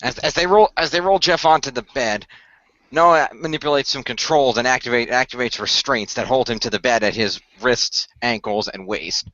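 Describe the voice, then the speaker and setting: A man's voice: medium pitch, normal speed, pushed and bright, no echo, dull audio. A man giving improvised narration of his character's actions in an amateur radio play.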